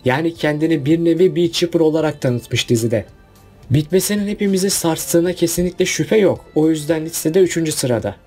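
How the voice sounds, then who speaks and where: A man's voice: fairly high pitch, a little fast, a little mellow, faint music behind. A man narrating a casual countdown video about cartoon finales in a chatty voice-over.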